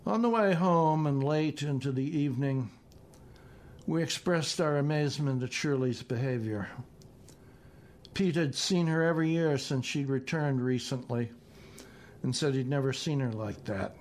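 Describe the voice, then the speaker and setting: A man reading his own literary short story as narrator, in a measured, deliberate manner. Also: medium pitch, darkish tone.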